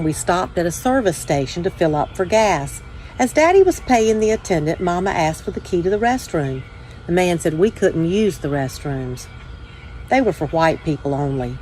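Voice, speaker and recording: A woman hosting a children's story time, reading aloud with measured, deliberate phrasing. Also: on the low side, expressive, darkish tone, soft background music. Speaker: church children's ministry director, story time host.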